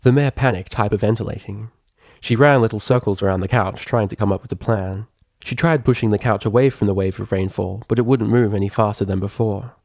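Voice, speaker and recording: A man reading fiction aloud as a scripted narrator, expressive and articulate in a dramatic storytelling manner. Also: lowish voice, brisk, gravelly, no room sound, studio quality. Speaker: audiobook reader of fan fiction.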